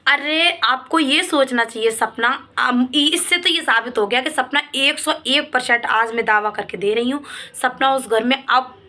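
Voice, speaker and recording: A woman's voice: high voice, moderate pace, sharp tone. A woman vlogger giving opinion commentary straight to her viewers, in an emphatic, reproachful way that leans on repeated points.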